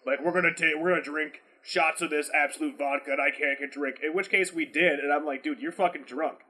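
A slightly tipsy man hosting a podcast, chatting casually and asking questions in an animated, incredulous way, swearing freely. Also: a little high, fairly quick, breathy edge. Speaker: podcast host.

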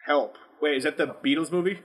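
A man's voice: a little high, fairly quick, a bit bright, slightly rough. A man co-hosting a podcast, bantering casually with brash, blunt opinions and heavy swearing. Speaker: podcast co-host.

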